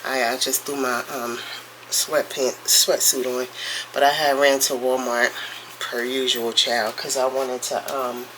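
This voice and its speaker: A woman's voice: a bass voice, level tone, a bit bright, a little hiss or hum, rough recording. A woman with a stuffy, congested voice from a cold, chatting casually as a vlogger while she cooks at home. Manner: chatty, rambling, drawn-out storytelling. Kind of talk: casual morning vlog chat. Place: home kitchen, cooking.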